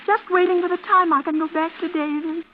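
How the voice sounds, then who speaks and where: A very high, girlish voice reaches us through a tinny, slightly noisy old radio recording. It is a fragile, unbalanced woman, childlike and plaintive, in a vintage suspense play. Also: fairly even intonation, fairly quick. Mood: wistful. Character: fragile, childlike, pleading mad twin sister.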